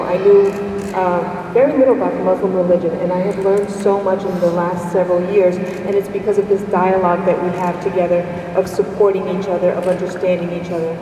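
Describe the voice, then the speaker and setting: Brief: woman, formal earnest address, distant mic, big echoey hall, noisy background. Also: medium pitch. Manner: earnest, measured, with blessings. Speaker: guest speaker, judge. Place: large community hall.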